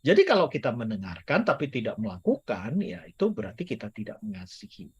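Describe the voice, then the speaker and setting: A man's voice: medium pitch, huge pitch swings, a little fast, breathy edge, lo-fi sound. A man preaching in a casual, chatty way, joking with his listeners and mixing in English phrases. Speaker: guest speaker. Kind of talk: casual teaching sermon. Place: church service or online meeting.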